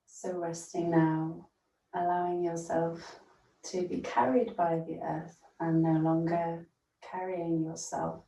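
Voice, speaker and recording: A mellow woman's voice, recorded in a roomy, echoing space, guides a meditation. She speaks very slowly and gently, leaving long pauses as a yoga nidra teacher does. Her delivery stays soothing and very even. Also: on the low side. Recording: roomy echo, distant from mic.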